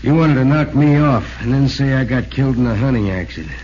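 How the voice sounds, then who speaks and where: A man acts out a bitter rival pitcher in an old radio play. He sneers and accuses with a hot temper. The recording is muffled and lightly noisy. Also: brisk, darkish tone, natural voice. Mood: bitter, accusing. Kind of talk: 1940s radio drama acting. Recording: dull, lo-fi old radio, faint noise.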